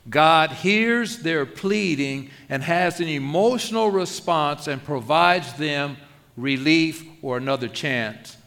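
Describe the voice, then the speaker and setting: A man's voice: mid-range voice, a bit bright. Guy pastor giving a sermon, chatty, jokes at himself, keeps asking the crowd questions. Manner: conversational, self-deprecating, with rhetorical questions.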